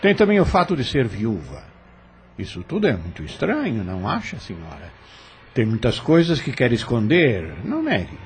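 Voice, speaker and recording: An actor playing an arrogant, menacing plantation overseer in a radio drama, sneering and domineering, with a husky voice. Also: male voice, medium pitch, huge pitch swings, moderate pace, slight background noise. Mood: accusing, insinuating.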